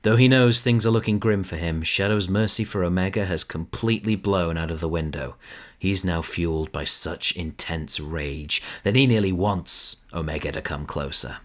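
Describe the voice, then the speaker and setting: A man's voice: deep voice, moderate pace. A man reading scripted, dramatic narration as the narrator of an audio drama.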